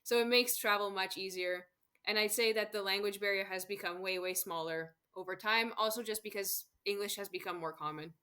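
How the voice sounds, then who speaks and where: Bright-voiced chatty woman. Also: mid-range voice, fairly even intonation, a little fast. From Canada. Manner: friendly, chatty, laughs often. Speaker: guest, solo travel expert. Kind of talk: casual interview chat.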